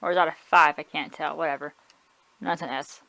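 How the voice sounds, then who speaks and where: A woman's voice: low-pitched, fairly even intonation, fairly quick, vocal fry, fairly bright tone, slight background noise, poor audio. A woman casually showing off her collection at home, chatty and offhand, often correcting herself and shrugging things off. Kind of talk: casual home video tour. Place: room at home.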